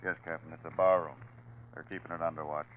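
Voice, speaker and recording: An actor playing a curt, tough police sergeant who barks snappily in 1940s radio crime drama style. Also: male voice, a bass voice, level tone, fast talker. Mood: brisk. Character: tough, brisk police sergeant, loyal and bullying to suspects. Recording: dull lo-fi 1940s radio recording.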